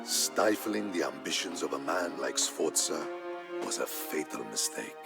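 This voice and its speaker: A man narrating a historical tale in a scripted, dramatic style, solemn and measured. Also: rumbling low, expressive, vocal fry. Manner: solemn, measured storytelling delivery. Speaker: narrator of a strategy game campaign story. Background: background music.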